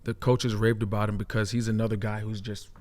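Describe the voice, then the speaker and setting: A man's voice: on the low side, little pitch movement, a little fast, a little mellow. A man talking casually as an ex-player turned analyst, making emphatic points and often checking in with "right?". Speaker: former player and coach, football analyst. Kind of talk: casual sports talk.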